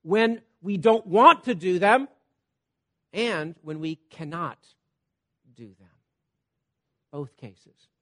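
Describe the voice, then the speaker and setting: High-voiced preacher man, swinging loud and soft. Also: slow, long pauses. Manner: animated, wide swings between loud emphasis and soft asides; repeats "Okay?" to check on listeners. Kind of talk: sermon.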